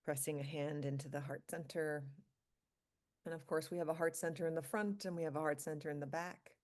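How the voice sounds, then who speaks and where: A woman leading a guided meditation for a group, speaking calmly and slowly in short, spaced phrases. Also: low voice. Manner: calm, slow, pausing between short phrases. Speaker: meditation leader, physician.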